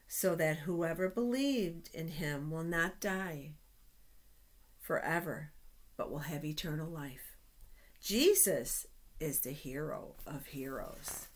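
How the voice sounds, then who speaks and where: A middle-aged woman hosting a children's storytime, reading aloud with deliberate, expressive phrasing and pauses. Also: fairly low pitch. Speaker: children's storytime host at a church. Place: small indoor office.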